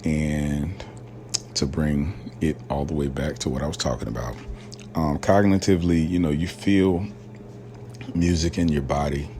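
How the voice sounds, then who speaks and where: A man with an extremely deep, low voice hosts a solo podcast and talks in a loose, rambling way, full of fillers and slang. He grew up in rural Alabama. A faint hiss of noise sits under the recording. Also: fairly even intonation, unhurried, warm tone. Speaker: podcast host. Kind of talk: casual solo podcast talk.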